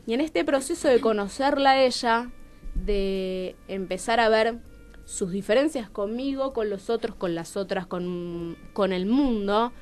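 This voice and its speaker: Talkative high-voiced Argentine woman, music behind. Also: a little slow, smooth. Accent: Argentine Rioplatense. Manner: talkative, expressive, acts out remembered dialogue. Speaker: playwright, radio show guest. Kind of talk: animated conversational storytelling.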